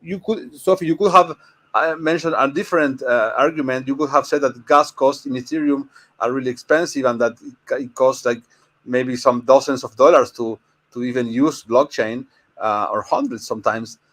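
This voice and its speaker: A man from Argentina with an Argentine Spanish accent, speaking as a panelist in an informal discussion and explaining things haltingly. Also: mid-range voice, a bit bright.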